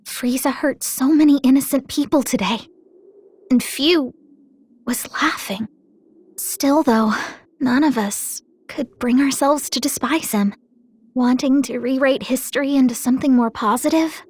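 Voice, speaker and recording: A woman's voice: high-pitched, measured pace, a little mellow, breathy edge. A woman voicing a bossy, spirited small female deity who commands a squad in an anime game, with cheeky yet earnest authority. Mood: earnest, grave. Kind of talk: English anime game dub acting.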